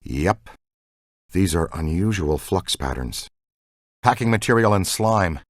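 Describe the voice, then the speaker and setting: An earnest, geeky ghost-hunting scientist for a video game. The pitch moves around in a lively way, eager and good-natured, and the recording is dry and close. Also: male voice, measured pace, dry recording. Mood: earnest, explaining. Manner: earnest, excitable, technical. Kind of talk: video game dialogue, battle shouts and banter.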